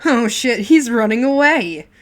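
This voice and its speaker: A woman voicing a sarcastic, chain-smoking older brother, a young man with a deep mocking voice. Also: fairly even intonation, moderate pace, close to an everyday voice. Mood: mocking, shouting. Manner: mocking, contemptuous.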